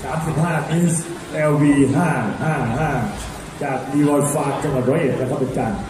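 A man's voice: a little high, fairly even intonation, brisk, background noise. A man announcing the entries at a livestock show. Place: open-sided livestock show arena. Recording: heavily echoing loudspeaker sound.

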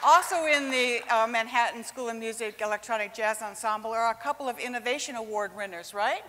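A woman's voice: fairly high pitch, a little fast, a bit bright, a faint crowd, slight background noise. A woman in her sixties hosting a live event on stage, upbeat and chatty, speaking into a handheld mic. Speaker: host. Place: large indoor stage. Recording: stage mic in a big hall.

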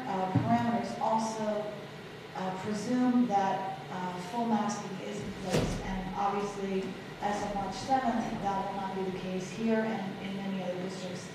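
Woman reporting in an echoey hall. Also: mid-range voice. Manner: measured, many fillers. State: losing her voice. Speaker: school superintendent. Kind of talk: formal report at a public meeting. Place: large public meeting room. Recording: echoey hall from far off, background noise.

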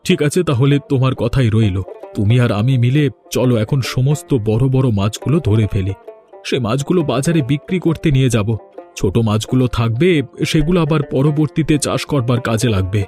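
A man's voice: mid-range voice, brisk, warm tone, natural voice. A man voicing a worried, humble village husband in a cartoon, earnest and questioning, with music underneath. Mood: practical. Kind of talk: Bengali cartoon dubbing.

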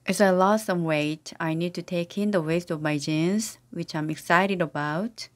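A synthetic woman's voice reading English practice sentences for a language lesson, in an even, steady delivery. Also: fairly low pitch, unhurried. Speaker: language teacher voice. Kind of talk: scripted English drill sentences.

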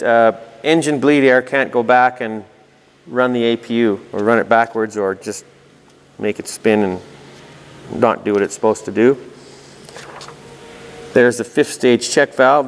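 A man giving an informal technical walkthrough, reading aloud and thinking out loud with dry asides. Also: mid-range voice, smooth. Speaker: host of a technical explainer video. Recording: reverberant room with long echo.

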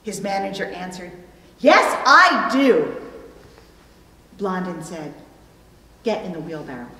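A middle-aged woman pastor preaching a sermon, phrasing deliberately and pausing for emphasis. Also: on the low side, very animated, sharp tone. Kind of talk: sermon with illustrative stories. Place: church pulpit indoors, recorded from a distance. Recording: echoey hall, long reverb.

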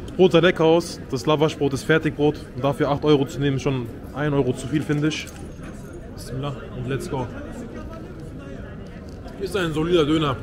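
A young man vlogging on a phone held at arm's length, chatty and excited, switching between German and Turkish while he interviews football fans. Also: fairly high pitch. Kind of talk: casual vlog with street interviews. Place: crowded football stadium and city streets at night. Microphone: phone camera at arm's length. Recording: loud crowd and music behind. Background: chanting crowd and loud music.

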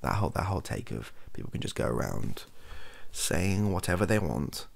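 A young British man giving an improvised, softly spoken, casual monologue with rambling, dry, offhand humour. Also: sits low, big pitch swings, moderate pace, creaky. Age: young adult. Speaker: host of a comedy ASMR channel. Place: small cluttered room indoors.

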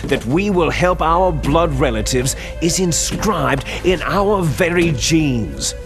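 An actor playing a bitter, fanatical villainous clone soldier in a video game, arrogant, menacing and condescending, with drawn-out theatrical phrasing. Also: male voice, a little high, animated. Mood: contemptuous lecturing. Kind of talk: video game cutscene acting. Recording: music behind the voice.

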